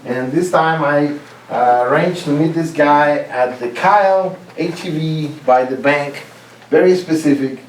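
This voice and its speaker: Distant, echoey man telling funny stories. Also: fairly high pitch. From Costa Rica. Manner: laughs at own stories, halting restarts. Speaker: contest speaker. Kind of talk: humorous contest speech. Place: club meeting room. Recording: roomy, distant mic, faint hiss.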